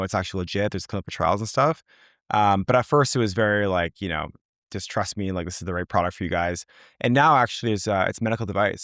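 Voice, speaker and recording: A man's voice: low-pitched, vocal fry, fairly bright tone, no room sound, clean studio sound. A young man, a company founder, talking casually as an interview guest, with many fillers and restarts. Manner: loose, filler-heavy, rambling.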